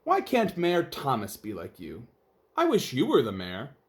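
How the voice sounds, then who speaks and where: A man's voice: medium pitch, huge pitch swings, measured pace. A man voicing a grumbly, teasing husband in a children's storybook, with emphatic delivery. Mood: admiring.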